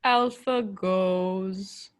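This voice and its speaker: A woman's voice: medium pitch, extremely slow. A woman playing an obedient male robot servant in a radio play, with a stiff, mechanical delivery. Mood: flat, obedient. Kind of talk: old radio play performance.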